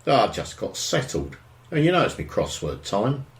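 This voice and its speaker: An actor playing a grumbling, indignant middle-aged suburban husband in an audio drama, with an exasperated way of talking. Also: male voice, on the low side, very animated, normal speed. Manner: grumbling, exasperated, mild swearing.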